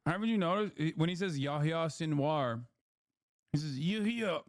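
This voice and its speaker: A man's voice: on the high side, expressive, normal speed, no echo, studio quality. A man, a streamer giving blunt, profane, mocking reaction commentary. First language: Turkish.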